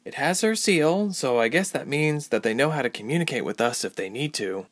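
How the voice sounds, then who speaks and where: A man's voice: medium pitch, animated, brisk, dry recording, pitched above the performer's own voice, lightly acted. A man voicing a guarded, earnest young woman traveller in a fantasy audiobook, reserved in manner. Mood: thoughtful.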